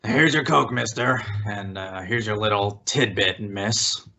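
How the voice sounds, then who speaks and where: A man's voice: fairly low pitch, a little slow, vocal fry, sharp tone, full character voice. A man playing a weary, sardonic soda-counter waiter in dry 1940s radio comedy style. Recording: dull lo-fi old-radio-style recording.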